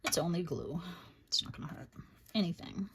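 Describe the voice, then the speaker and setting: A woman's voice: fairly low pitch, a little fast, slight background noise. A woman with a Boston accent chatting casually as she walks through a crafting project, thinking aloud. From Boston area, USA. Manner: chatty, thinks aloud, drops into quiet asides. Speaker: crafting channel host. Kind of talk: casual crafting tutorial chat.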